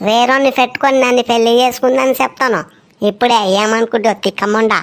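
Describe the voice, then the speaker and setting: A high-voiced, quarrelsome village relative from a homemade cartoon comedy, acted by a woman. The speech is colloquial and confrontational, full of scolding. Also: level tone, fast, heavy background noise, lightly acted. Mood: angry. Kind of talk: amateur cartoon comedy dialogue in Telugu.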